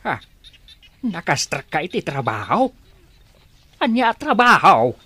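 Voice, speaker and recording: A man's voice: on the high side, very animated, takes it slowly, pushed and bright, breathy edge, heavily acted. A man acting an elderly, fond father in an Ilocano radio drama. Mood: curious. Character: elderly father, fond, grateful, advising his grown child.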